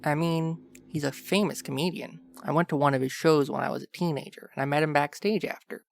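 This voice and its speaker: A man's voice: on the high side, fairly even intonation, a little fast, vocal fry. A man playing a cocky, flirtatious captive filmmaker who jokes wryly in an audio fiction drama. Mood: evasive, wry. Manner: wry, mock-dramatic. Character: cocky, flirtatious captive male filmmaker, joking under interrogation.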